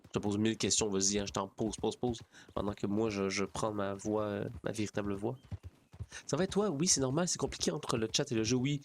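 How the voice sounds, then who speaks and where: A man's voice: fairly low pitch, fairly quick, slight background noise. A man with a Quebec French accent chatting casually to livestream viewers, rambling and repeating his phrases. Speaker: streamer.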